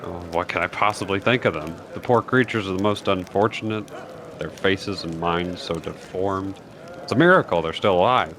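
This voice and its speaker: A man's voice: deep voice, moderate pace, background noise, lightly acted. A man voicing a proud, hard-nosed wealthy merchant in a video game, speaking in a formal, indignant manner. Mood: grave. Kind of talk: reading video game dialogue aloud. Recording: loud music under the voice.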